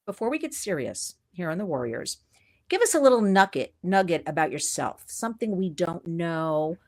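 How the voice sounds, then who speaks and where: A woman, hosting an interview show and chatting with a guest in an animated, gushing way, often laughing. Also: fairly low pitch, normal speed. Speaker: podcast host. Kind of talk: enthusiastic interview chat.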